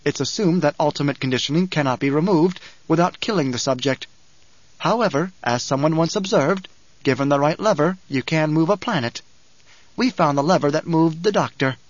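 A man playing a sly, calculating adviser, fairly high-pitched. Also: no echo, lo-fi sound, lightly acted. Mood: smug scheming. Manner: precise, measured, smug. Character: coolly calculating male mentat adviser, sly and precise. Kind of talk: audiobook character acting.

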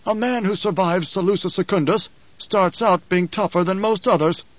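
A man's voice: moderate pace, no echo. A man voicing a shrewd older male spymaster who speaks mildly and precisely in a raised voice. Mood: calm. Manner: mild, precise, higher voice. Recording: dull, dry recording.

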